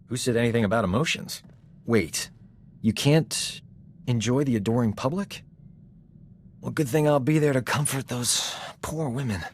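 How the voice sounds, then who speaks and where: An actor playing a cocky, flirtatious combat medic in a science fiction video game, with a breezy, boastful, wisecracking delivery. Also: male voice, mid-range voice, moderate pace, long pauses, a little hiss or hum, close to an everyday voice. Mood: teasing, amused. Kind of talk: video game voice acting, character dialogue.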